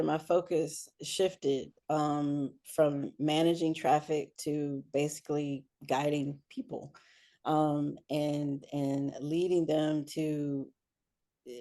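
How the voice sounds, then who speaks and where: A woman giving conversational interview answers as a guest, often pausing mid-sentence. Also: low voice, dark tone. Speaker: interview guest, air traffic control supervisor and businesswoman.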